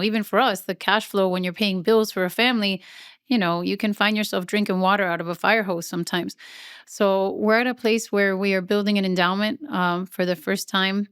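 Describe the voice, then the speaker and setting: A woman's voice: medium pitch, clean tone, no echo, studio quality. A middle-aged woman, a nonprofit CEO, talking casually in an interview, chatty and self-deprecating.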